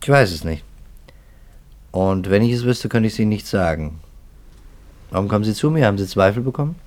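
Actor as a low-voiced, sober police investigator. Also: male voice, lively intonation, a little mellow, lightly acted. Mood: guarded. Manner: measured, deliberate, matter-of-fact. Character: sober, guarded police investigator, weary and reasoning. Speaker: actor in a radio play. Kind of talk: German radio drama acting.

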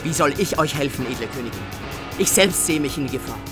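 A man's voice: medium pitch, lively intonation, quick pace, music in the background, close to an everyday voice. An actor playing a wary nobleman who pleads earnestly in a radio drama. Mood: pleading.

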